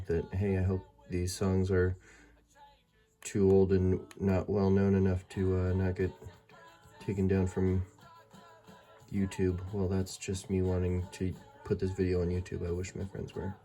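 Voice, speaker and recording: A man with a fairly low voice talks through a gadget review in a casual, unscripted way. He is informal and hesitant, working out his words as he goes. Music plays in the background. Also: warm tone. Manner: informal, hesitant, thinking aloud.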